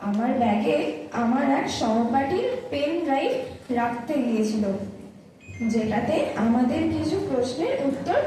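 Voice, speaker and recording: A woman's voice: high-pitched, lively intonation, moderate pace, mellow. A woman playing an earnest, sensitive schoolgirl confiding in her mother in an emotive stage audio drama, recorded in an echoey space. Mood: anxious, hurt. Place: echoey room at a recitation festival. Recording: echoey hall, far mic, faint noise.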